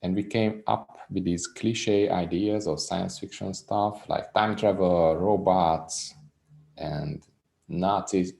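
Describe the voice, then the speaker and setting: A man with a Hungarian accent, a native Hungarian speaker, talking about his music as a guest in a casual interview in a reserved, soft-spoken, unhurried way. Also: sits low, steady tone, vocal fry.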